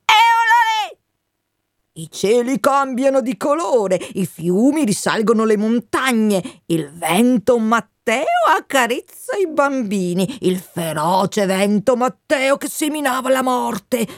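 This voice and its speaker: A woman voicing a large, strange bird that shrieks its calls and gives an ominous warning in a high, shrill voice. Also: very animated, takes it slowly, dry recording, studio quality, full character voice.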